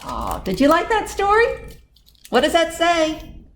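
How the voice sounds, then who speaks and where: A woman's voice: very high voice, very animated, a little slow, a little hiss or hum. A woman hosting a storytime for young children, talking playfully and directly to them as a library presenter. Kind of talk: children's storytime reading and chat.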